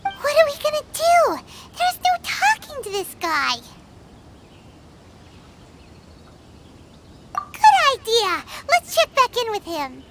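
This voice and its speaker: A woman voicing a tiny, perky, easily indignant childlike companion in a video game, squeaky and cutesy. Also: huge pitch swings, unhurried, heavy background noise, heavily acted.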